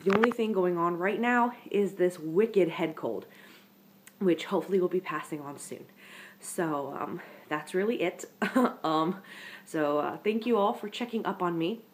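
A woman's voice: on the low side, measured pace, a little hiss or hum. A young woman with a congested head-cold voice, chatting to her webcam in a casual vlog update from her living room couch. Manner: chatty, rambling, talks straight to viewers. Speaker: vlogger. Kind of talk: casual pregnancy update to camera.

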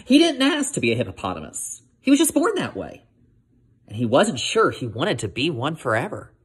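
A woman reading a children's picture book aloud like a teacher, expressive and addressing her young listeners. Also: rumbling low, normal speed, slightly rough. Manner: expressive, asks listeners questions.

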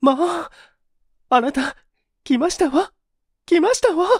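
A man's voice: extremely high pitch, slow pace, no echo, studio quality, voice pushed up, heavily acted. A man voicing an anxious, fearful wife and mother who pleads in polite, feminine speech. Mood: panicked pleading.

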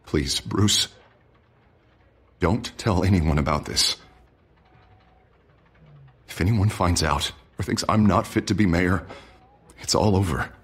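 An actor playing an anguished, unstable male politician in a dramatic video game, with a husky voice. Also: low voice, takes it slowly, pauses often, full character voice. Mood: pleading.

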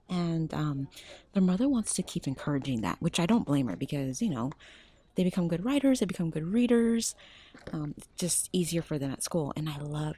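A woman's voice: deep voice, dark tone, breathy edge. An older woman chatting casually as she films herself browsing a store aisle, often dropping to a whisper. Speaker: shopping vlogger. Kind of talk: casual shop-with-me narration. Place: large discount store aisle. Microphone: handheld phone camera.

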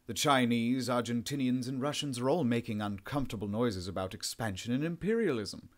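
A man's voice: mid-range voice, a little fast, close to an everyday voice. A man voicing an amiable, pompous late middle-aged governor with a posh Southern English accent in an audiobook. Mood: measured, assured.

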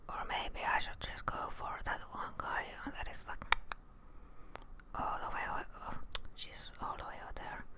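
A young woman doing ASMR game commentary in a breathy whisper close to the microphone, repeating short phrases and swearing under her breath. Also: normal speed. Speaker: gaming streamer. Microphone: close microphone on boom arm, with headset.

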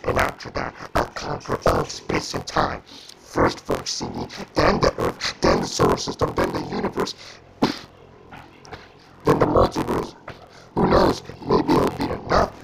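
A man's voice: a bass voice, little pitch movement, creaky, loud music behind the voice, heavily acted, low-quality recording. A man voicing a menacing, boastful demonic villain with a growling, grandiose delivery in amateur scripted voice lines. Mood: raging, boastful. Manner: slow, grandiose, threatening. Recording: loud noise and clicks, crude home recording.

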